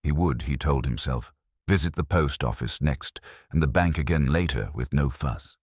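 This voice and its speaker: A man narrating a literary detective story as a solo reader, in a measured, dry and wryly formal manner. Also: extremely low pitch, a little mellow, breathy edge, no echo. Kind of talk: scripted literary narration of a mystery drama.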